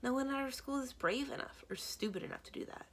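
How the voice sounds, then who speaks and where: A woman's voice: high-pitched, quick pace. A woman reading a children's book aloud as a library storyteller, narrating expressively.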